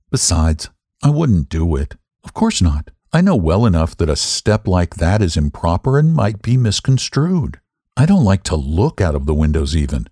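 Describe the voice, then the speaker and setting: A man's voice: on the low side, mellow, husky, no echo, studio quality, exaggerated voice. A man reading dramatically as an unhinged, secretive, defiant woman narrating a gothic story. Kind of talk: dramatic first-person audiobook reading.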